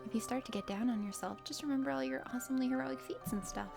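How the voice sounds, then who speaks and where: A woman voicing a friendly, awkward young adventurer heroine, hesitant, casual and gently teasing, in an ASMR play-through of a dating game. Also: high-pitched, racing, gravelly, over music. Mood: kind, encouraging.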